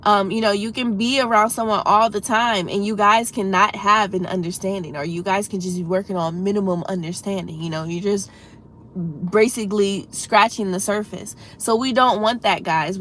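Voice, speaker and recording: Female livestream host, congested and slightly froggy, earnest and encouraging, low rumble in the background. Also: steady tone, fairly quick, a bit bright. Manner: earnest, encouraging, talks straight to chat with many "you know"s. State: congested with a head cold. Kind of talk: casual livestream chat.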